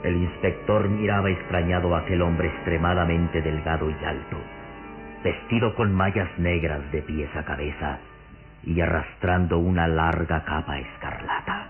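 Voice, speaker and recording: Actor as a very deep, solemn radio narrator. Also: male voice, fairly even intonation, moderate pace, close to an everyday voice. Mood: grave, ominous. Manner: grave, suspenseful, theatrical. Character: solemn narrator of a gothic horror tale. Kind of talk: dramatic scripted radio narration. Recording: muffled old radio recording with music.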